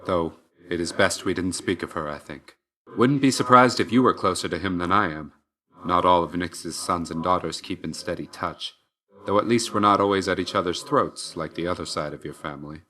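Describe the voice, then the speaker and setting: A man voicing a stern, reserved and dutiful god of death in a video game, speaking in a formal, measured way. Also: sits low, bright, forceful tone. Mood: dry, reserved. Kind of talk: video game character voice lines.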